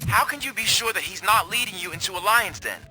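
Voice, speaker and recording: An actor playing an exasperated, cautious police colleague in a video game, wry and expressive. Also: male voice, high-pitched, fast. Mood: wry exasperation. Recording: loud music and gunfire behind.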